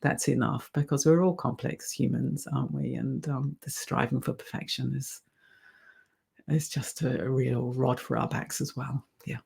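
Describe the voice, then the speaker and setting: Gravelly, husky man, reflective. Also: medium pitch, fairly even intonation, moderate pace, a little mellow. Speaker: panelist. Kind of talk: reflective panel talk.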